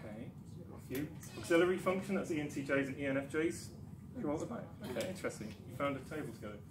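A man's voice: a little high, lively intonation, fast talker. A man from Newcastle giving a conference talk, chatty and self-deprecating, with many ums and restarts. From Newcastle, England. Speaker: conference speaker. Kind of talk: presentation talk. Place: darkened lecture room. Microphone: far camera. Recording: echoey room with background noise.

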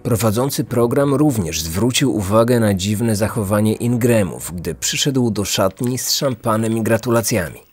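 Male true-crime narrator, low, husky, measured, serious, scripted. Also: soft background music. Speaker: podcast host, narrator.

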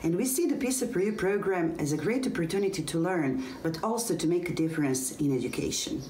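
A woman's voice: fairly low pitch, lively intonation, a little fast, darkish tone, a little hiss or hum. A woman from Serbia, an English teacher, reading a scripted presentation carefully, with small hesitations. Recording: echoey room with faint background ambience.

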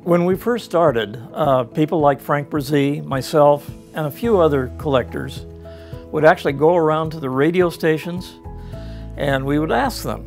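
Brief: older male collector, higher voice, unhurried, faint music behind. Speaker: interviewee, collector. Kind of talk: casual recollection. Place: home studio with audio equipment.

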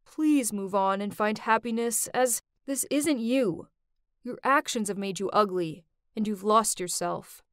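A woman's voice: medium pitch, measured pace, clear, resonant tone, no echo, studio quality, pitched below the performer's own voice, natural voice. A woman storyteller voicing an earnest, blunt, easily exasperated college-age man in a dramatic story. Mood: stern, regretful.

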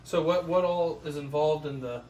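A man's voice: a little high, moderate pace, darkish tone, recorded from a distance. A young man hosts an interview, asking friendly questions with small restarts. He is heard from off the mic in an echoey room, with faint noise behind him. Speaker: host, interviewer.

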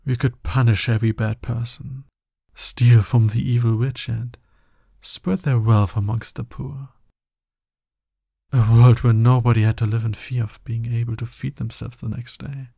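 A man playing a husky, smug, seductive villain. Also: medium pitch, flat delivery, dark tone, dry recording, clean studio sound. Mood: tempting, soft. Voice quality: husky, breathy. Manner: slow, condescending, teasing. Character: smug, seductive, dominant male villain courting his hero nemesis. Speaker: voice actor in an ASMR roleplay. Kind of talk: ASMR roleplay monologue.

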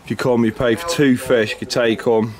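A man vlogging a fishing trip outdoors by a lake, chatting excitably and bantering with his brother. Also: medium pitch, a little fast, a crowd in the background. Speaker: angler vlogger. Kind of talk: casual chat.

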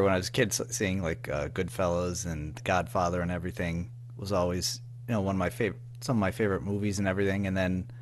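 A man talks as a guest in a casual interview. His voice is fairly low, and his delivery is informal and halting, full of filler phrases. There is a faint hum in the recording. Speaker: podcast guest, audio drama writer-producer.

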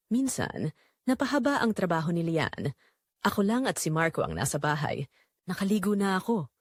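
Expressive woman narrating a dramatic story. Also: mid-range voice, no room sound, studio quality. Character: middle-aged mother-in-law telling her secret story. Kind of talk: scripted first-person dramatic narration.

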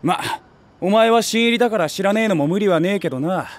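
An actor playing an ambitious, streetwise stray tomcat, a small-time gang big brother with brash, rough talk in a voice drama. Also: male voice, high voice, lively intonation, fast talker. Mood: cocky, scheming. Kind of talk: Japanese voice drama acting.

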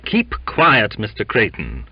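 A man playing a stern ship's captain in a storybook, giving severe, sharp and sarcastic orders. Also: fairly low pitch, wildly swooping pitch, takes it slowly, slightly rough, no echo, muffled recording, exaggerated voice. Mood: sharp warning.

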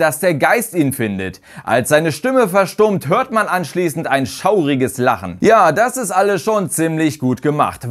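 A man in his thirties delivering scripted, articulate commentary as a video host. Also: high-pitched. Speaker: video host presenting a ranking. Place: small home studio room. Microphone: lapel mic. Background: eerie background music.

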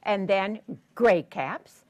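An older woman telling a story to young children in a theatrical, deliberate way, drawing out words and putting questions to her listeners. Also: medium pitch, a little mellow, dull audio. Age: 60s or older. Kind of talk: animated read-aloud storytelling to young children. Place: children's story room in a public library.

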